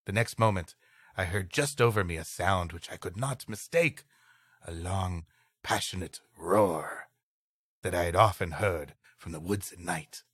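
A man's voice: low-pitched, unhurried, fairly bright tone, slightly rough. A man co-hosting a comedy podcast, reading an old story dramatically and trading comic banter.

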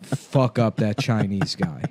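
A man's voice: fairly low pitch, takes it slowly, a little mellow, no echo. A man in his thirties hosting a comedy podcast, talking casually with crude, sarcastic jokes. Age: 30s. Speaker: podcast host.

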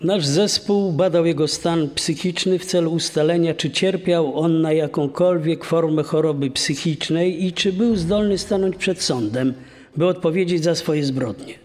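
A man's voice: a little high, moderate pace, a little mellow, soft background music, lightly acted. Actor as formal male psychiatrist, reverberant. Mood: formal, clinical. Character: male psychiatric expert reporting an assessment. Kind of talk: dramatised expert testimony. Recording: long reverberant echo.

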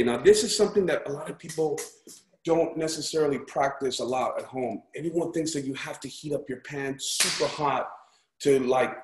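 Upbeat man teaching cooking, echoey room. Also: mid-range voice. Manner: upbeat, encouraging, chatty with frequent check-ins like "right?" and "okay?". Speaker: chef hosting a cooking class. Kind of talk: casual hands-on cooking demonstration. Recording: echoey room, background noise.

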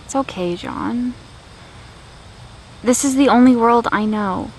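An actress playing a gentle fifteen-year-old girl, a soldier's daughter, in an audio drama, hesitant and questioning. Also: a little high, takes it slowly, rough recording. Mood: quiet, accepting. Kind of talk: naturalistic audio drama acting. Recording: steady outdoor night-insect noise.

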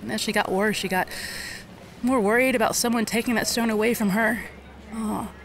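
Actress as a halting, reflective woman, noisy background. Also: on the high side, steady tone, lightly acted. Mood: wistful, regretful. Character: remorseful woman recalling a sick little girl, gentle. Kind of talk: audio drama acting, conversational.

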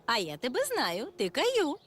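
A woman's voice: high voice, huge pitch swings, measured pace, heavily acted. A woman voicing friendly, caring female characters in a children's cartoon, with sing-song, encouraging talk to a small child. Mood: kindly. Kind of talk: children's cartoon dub acting. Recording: music behind the voice.

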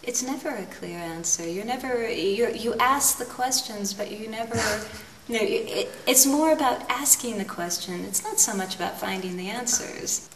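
A woman in her forties from the United States, a painter talking about her work in her studio, musing and unhurried, often laughing. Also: on the high side, fairly bright tone, a little hiss or hum. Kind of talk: relaxed interview talk. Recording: echoey studio, mic far off.

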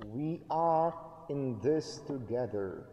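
A middle-aged pastor delivers a sermon at a very slow, measured pace, his dark, mellow voice rising and falling in lively swings. He pauses often for emphasis, and his words ring out through a long church reverb. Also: mid-range voice, highs cut off. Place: church sanctuary, indoors, at a lectern. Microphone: fixed camera at a distance.

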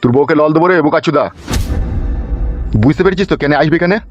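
Man playing a fast-talking, brutal cartoon politician boss. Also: a little high, lightly acted. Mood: threatening. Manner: domineering, foul-mouthed, threatening. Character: brutal, bullying politician boss who threatens the helpless. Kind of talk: amateur comedy cartoon dub. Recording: dull lo-fi audio over music.

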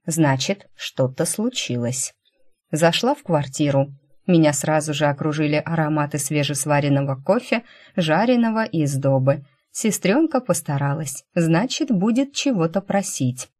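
A woman reading fiction as an audiobook narrator, in a conversational, expressive storytelling manner. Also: low voice, moderate pace. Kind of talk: scripted first-person fiction narration.

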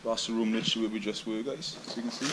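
Male explorer, low voice, chatty and excitable, loud noise behind. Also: rapid-fire. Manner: chatty, excitable, addresses viewers directly. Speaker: urban explorer vlogger. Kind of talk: casual walkthrough narration. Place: inside a derelict hospital building.

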